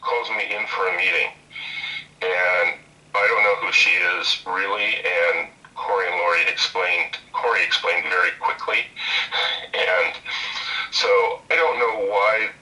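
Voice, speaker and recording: Deep low guy on a video call, bright edgy tone, sounds a bit far from the mic, rambles. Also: steady tone, a little slow. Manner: rambling, repeats words, quotes others in his own voice. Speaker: interview guest, former game programmer and voice actor. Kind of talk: casual storytelling over a video call. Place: home room with bookshelves, indoors.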